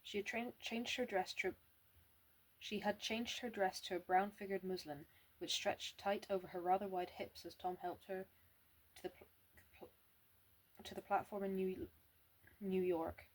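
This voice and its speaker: Husky young woman reading with a stammer. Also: medium pitch, fairly even intonation, measured pace, pauses often, rough recording. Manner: stammers and restarts words, plain reading. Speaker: amateur reader. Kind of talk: reading a novel aloud.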